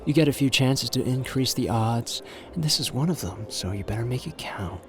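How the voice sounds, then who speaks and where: Music plays clearly under a mid-pitched male voice. It is a man acting out a suave, self-assured gambler from an ASMR audio roleplay. He teases and flirts intimately, stretching out his phrases with a calculating confidence. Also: natural voice. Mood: flirtatious, hushed. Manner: teasing, intimate, drawn-out phrasing with pet names.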